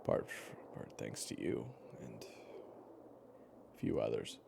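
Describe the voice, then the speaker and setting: A man playing a weary but gentle storyteller with a regretted past, talking casually and haltingly to the listener in an intimate audio roleplay. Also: very deep voice, big pitch swings, gravelly, whispered, heavily acted. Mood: subdued, reflective. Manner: casual, halting, with sighs and pauses.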